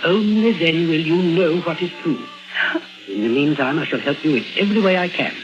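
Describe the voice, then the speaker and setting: An actor playing a self-assured, probing psychiatrist, firm but caring, with theatrical, deliberate questioning in 1950s radio drama style. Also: male voice, a little high, close to an everyday voice. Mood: urgent, resolute. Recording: muffled vintage radio recording with music.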